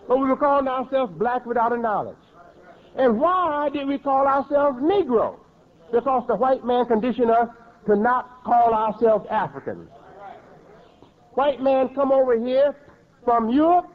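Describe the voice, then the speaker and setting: A man preaching with a very high voice, pressing each point hard and circling back to repeat words. The sound is thin and tinny, like an old phone-line recording. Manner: emphatic, repeats and rephrases words. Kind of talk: sermon.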